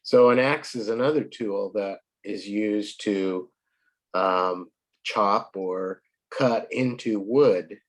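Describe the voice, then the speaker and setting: Slow, patient man tutor with a cold. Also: fairly low pitch. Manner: patient, clears throat often. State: hoarse from a cold. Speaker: English tutor. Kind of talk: online one-to-one lesson.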